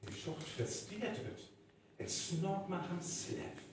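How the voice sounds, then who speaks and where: A man's voice: high-pitched, expressive, slow. A man with a North Frisian accent narrating a live radio play as scripted storytelling. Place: event venue, live stage recording. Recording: very echoey hall, distant, noisy live recording.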